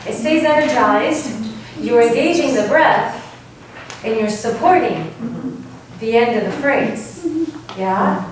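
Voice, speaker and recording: Woman choir coach from Argentina, fairly high voice, encouraging, far from the mic in an echoey hall with hiss. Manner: lively, encouraging, demonstrates by singing. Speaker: vocal coach. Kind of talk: leading a choir workshop. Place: large echoey rehearsal hall. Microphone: camera from far off. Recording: distant, roomy, noisy hall recording.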